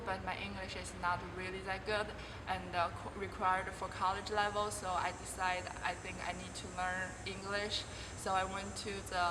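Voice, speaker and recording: A young Chinese woman, a college volleyball player, answering interview questions in careful non-native English with frequent restarts. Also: mid-range voice. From China. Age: 20s. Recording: distant voice in a reverberant room with clear background noise.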